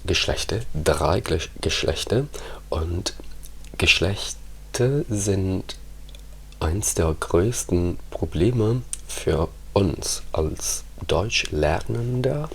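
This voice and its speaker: A man whose first language is Italian, talking softly in an ASMR ramble, often slipping into whispers with halting restarts. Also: low-pitched, expressive, vocal fry, husky. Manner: slow, hushed, drifting into whispers, halting restarts. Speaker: ASMR creator. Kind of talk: soft-spoken ASMR ramble.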